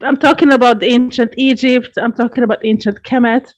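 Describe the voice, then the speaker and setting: A woman from Hungary, a guest astrologer explaining her craft in an earnest, measured way. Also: a little high, fairly even intonation. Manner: earnest, measured, gently repeats words. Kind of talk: interview answers, explaining at length. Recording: dull lo-fi podcast audio.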